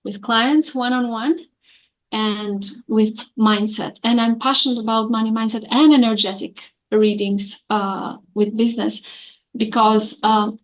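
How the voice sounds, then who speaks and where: A woman's voice: on the high side, steady tone, measured pace, dull audio. A woman, an accountant answering earnestly in an interview with many fillers. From Albania. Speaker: guest, accountant.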